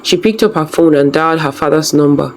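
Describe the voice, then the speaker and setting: Folktale narrator, scripted storytelling delivery, mid-pitched voice, noticeable background noise. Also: brisk, low-quality recording.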